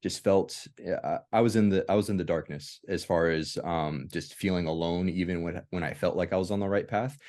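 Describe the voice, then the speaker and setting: Very deep-voiced, halting man. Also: fairly even intonation, a little mellow. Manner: halting, hesitant, circles back to rephrase. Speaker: host of a veterans' discussion group. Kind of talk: casual reflective group discussion.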